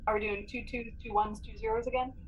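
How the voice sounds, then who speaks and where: A woman chatting casually as a player in a tabletop game, upbeat and expressive. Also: fairly high pitch, fast, slight background noise, rough recording.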